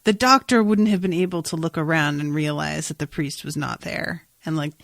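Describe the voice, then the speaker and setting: A woman's voice: low-pitched, normal speed, vocal fry, dry recording, clean studio sound. A woman hosting a podcast, casually recapping a TV episode in a wry, sweary way and acting out bits of dialogue. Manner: wry, sweary, animated retelling with acted-out quotes. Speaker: podcast host.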